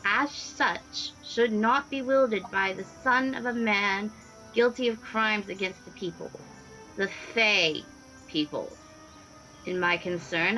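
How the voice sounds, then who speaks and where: A woman's voice: mid-range voice, pushed and bright, poor audio. A woman playing a regal young noblewoman in a fantasy radio play, formal and declamatory.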